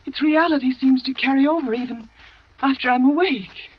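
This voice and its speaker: A terrified, haunted young wife. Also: female voice, high voice, moderate pace, husky. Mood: shaken. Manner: trembling, fearful, breathless. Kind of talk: 1940s radio drama acting. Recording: dull old radio broadcast.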